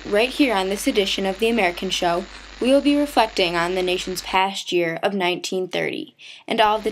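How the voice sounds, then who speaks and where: A woman's voice: mid-range voice, lightly acted, low-quality recording. A woman acting as a 1930s radio anchor speaks in a mid-range voice. She hosts the evening news with formal scripted delivery, over faint background noise. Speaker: host.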